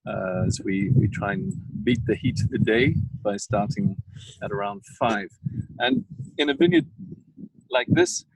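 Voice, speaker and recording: A man with a South African accent, a winemaker talking casually and haltingly with many fillers while standing outdoors in a vineyard, tired and coughing now and then. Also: on the low side, wildly swooping pitch, vocal fry, breathy edge, no echo, poor audio.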